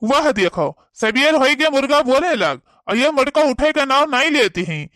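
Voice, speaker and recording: A man's voice: very high voice, expressive, moderate pace, bright, forceful tone, no echo, clean studio sound, exaggerated voice. A man playing a bossy, impatient village husband in a cartoon comedy, nagging with an Awadhi accent. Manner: nagging, commanding.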